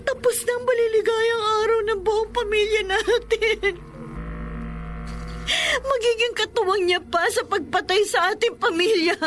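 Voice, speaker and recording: An actress playing a terrified young pregnant wife in a horror radio drama, tearful and trembling with gasping breaths. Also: female voice, very high voice, fairly even intonation, unhurried, slight background noise. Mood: tearful dread.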